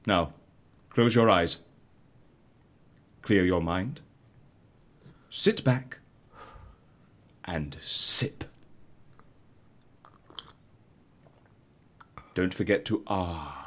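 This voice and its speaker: Theatrical British fixer, swooping delivery. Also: male voice, deep voice, animated, extremely slow, pauses often, a bit bright, heavily acted. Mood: soothing, coaxing. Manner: theatrical, wry, coaxing. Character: eccentric, genial male agency fixer, cocoa-loving and breezy. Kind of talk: scripted audio comedy acting.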